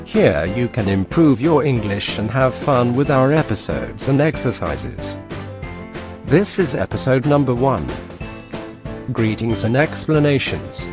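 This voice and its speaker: A man's voice: medium pitch, animated. A man reading a scripted podcast introduction as the announcer. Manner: slow, announcer-like delivery. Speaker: show announcer. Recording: intro with music behind. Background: music.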